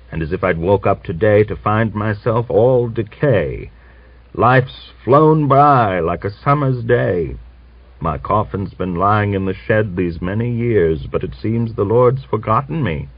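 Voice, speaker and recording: A man's voice: fairly low pitch, no room sound. A man doing a bitter ancient old man. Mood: wistful. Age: older. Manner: scolding, preachy, slow scripture reading. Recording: dull dry recording.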